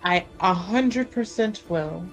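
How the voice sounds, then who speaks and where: A woman voicing a genteel, protective lady in a tabletop roleplaying game, measured, formal and delicate. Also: fairly low pitch, faint music behind, low-quality recording. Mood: resolute.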